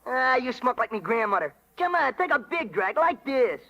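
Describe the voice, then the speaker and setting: A man's voice: extremely high pitch, big pitch swings, normal speed, bright, forceful tone, exaggerated voice. An actor playing a cocky, sneering, streetwise delinquent boy in slangy 1930s radio style. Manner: slangy, streetwise wise guy. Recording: dull vintage 1939 radio broadcast.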